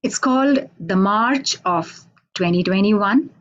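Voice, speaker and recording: A woman from India with an Indian accent, a Hindi native speaker, giving a halting, unhurried poetry reading over a video call from a radio station office. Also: mid-range voice, expressive, highs cut off. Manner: unhurried, halting, with many fillers. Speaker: poet.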